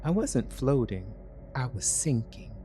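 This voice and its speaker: A man's voice: medium pitch, sing-song, slow pace, mellow, a little hiss or hum. A man giving a dramatic first-person narration of a thriller story.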